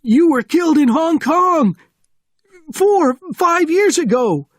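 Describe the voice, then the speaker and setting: A man's voice: very high-pitched, animated, slow pace, no room sound, studio quality, voice pushed up, exaggerated voice. Man acting a shrieking, panicked huge sergeant. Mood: screaming panic. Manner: shouting, panicked exclamations. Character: huge panicking male sergeant, terrified and roaring.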